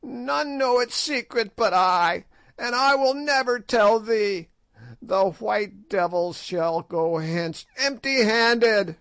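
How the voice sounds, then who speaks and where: Wicked ancient witch, voiced by a man: shrill, mocking, dry close sound. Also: takes it slowly, a bit bright, no room sound, exaggerated voice. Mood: defiant. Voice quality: shrill, cackling. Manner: screeching, mocking. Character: ancient shrieking female witch, wicked and gloating. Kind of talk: audiobook character voice.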